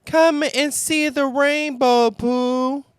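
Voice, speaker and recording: A narrator doing a high, excitable little kangaroo. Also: slow pace, no echo, studio quality. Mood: excited. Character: small, excitable young male kangaroo.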